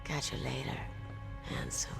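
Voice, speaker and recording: A woman's voice: unhurried, natural voice. Actress as female game sniper, cool, contemptuous, sultry, long reverb, background music. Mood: cool, aloof. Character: cold, proud female sniper, quietly contemptuous. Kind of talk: dramatic video game voice acting.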